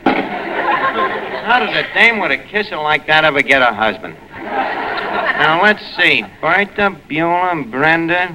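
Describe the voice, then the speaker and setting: A man's voice: fairly high pitch, sing-song, takes it slowly, pauses often, a crowd in the background. A man playing a brash, slangy New Yorker who mangles fancy words in a 1940s radio comedy. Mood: casual. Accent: New York. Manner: wisecracking, slangy, malapropisms. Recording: noisy old radio recording with studio audience.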